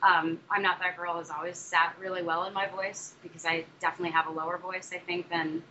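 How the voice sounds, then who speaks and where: Bright-voiced young actress, chatty and muffled. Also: female voice, on the low side, flat delivery, brisk. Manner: chatty, many fillers and restarts. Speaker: musical theatre actress, interview guest. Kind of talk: casual interview answers. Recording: dull muffled recording with faint noise.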